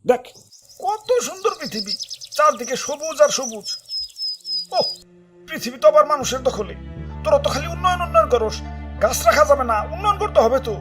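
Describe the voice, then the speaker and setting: A man's voice: extremely high pitch, animated, a little fast, pauses often. A man with a Bangladeshi accent voicing a boastful, flirtatious, hot-tempered sun in a comic cartoon, swaggering and sarcastic. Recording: music behind the voice.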